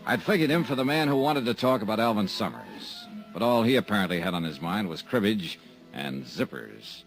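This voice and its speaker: Low-voiced hard-boiled guy narrating old radio mystery, lively delivery, faint music. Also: a little fast, creaky, low-quality recording. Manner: dry, wry, hard-boiled. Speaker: insurance investigator and narrator. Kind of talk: 1950s radio drama narration.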